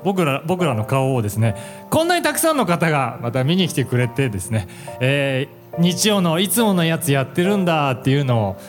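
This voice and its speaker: A man hosts a live stage event in a polite, chatty way. His voice sits a bit high and swings widely in pitch, and music plays behind him on the venue speakers. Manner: polite, chatty, prompting others. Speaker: event host and radio drama actor. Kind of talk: live stage talk, closing greetings. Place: public event stage in a shopping complex.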